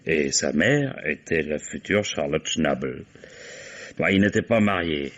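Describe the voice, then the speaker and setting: An actor playing a weary, shrewd and commanding police commissioner in a vintage French radio mystery, deliberate and musing. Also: male voice, rumbling low, animated, a bit bright, exaggerated voice. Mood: reflective, explaining. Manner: deliberate questioning with musing interjections. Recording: dull vintage radio drama recording.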